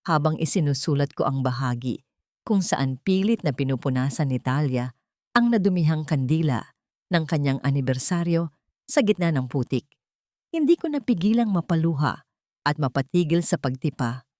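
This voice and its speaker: A woman reads a scripted dramatic story as an audiobook narrator, in an earnest, sentimental and measured way. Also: low-pitched, animated, darkish tone, no echo, studio quality.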